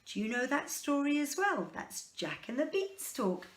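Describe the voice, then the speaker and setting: A woman's voice: sits high, sing-song, moderate pace, echoey room. A woman reading a picture book aloud to children in a chatty way, prompting them with simple questions. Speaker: children's storyteller.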